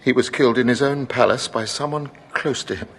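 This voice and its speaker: An actor playing a scheming, obsequious grand vizier in a radio drama, deferential and placating. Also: male voice, medium pitch, brisk, lightly acted. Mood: smooth, persuasive.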